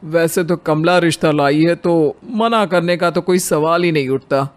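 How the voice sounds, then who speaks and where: A middle-aged family father, acted by a man, conservative and deferential, in a moral tale. His voice sits notably high for a man. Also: fairly even intonation, normal speed, natural voice. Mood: agreeable. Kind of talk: dramatized Hindi moral story.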